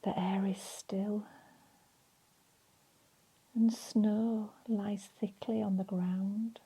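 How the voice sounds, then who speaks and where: A woman leading a guided relaxation in a soft, breathy, soothing voice, leaving long pauses between phrases. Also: medium pitch, little pitch movement, rough recording. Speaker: meditation and relaxation instructor.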